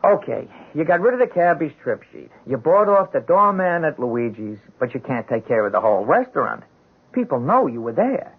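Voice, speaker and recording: An actor in a 1970s radio drama playing a hapless, earnest drunkard with slangy, stammering, ungrammatical speech. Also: male voice, on the high side, big pitch swings, normal speed, husky, full character voice. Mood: accusing. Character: hapless drunkard fall guy, earnest street fellow. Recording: muffled, thin vintage radio recording.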